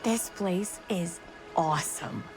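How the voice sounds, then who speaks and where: A woman's voice: fairly low pitch, takes it slowly, over music. An actress playing an eager, decisive, thrill-seeking young American woman in a video game cutscene. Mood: excited, awed. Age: young adult.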